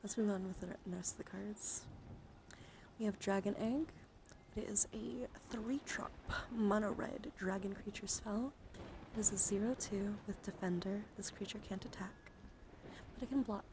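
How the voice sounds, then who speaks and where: A young adult woman livestream host speaking in a soft, breathy ASMR style, often slipping into whispers, close to the microphone. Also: medium pitch, fairly even intonation, normal speed, noisy background. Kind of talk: ASMR, soft-spoken casual live chat and card reading.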